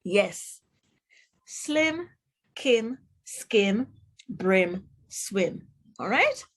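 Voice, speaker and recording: A woman's voice: mid-range voice, big pitch swings, extremely slow. A woman with a Jamaican accent reads aloud to children as a teacher, enunciating words in an instructive way and putting questions to the listener. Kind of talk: children's read-aloud phonics lesson.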